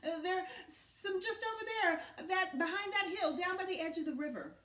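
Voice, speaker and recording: A woman voicing a charming, falsely polite male wolf in a storybook, flattering and drawing out the words. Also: extremely high pitch, pitched above the performer's own voice. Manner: smooth-talking, drawn-out, flattering. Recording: roomy, echoey sound.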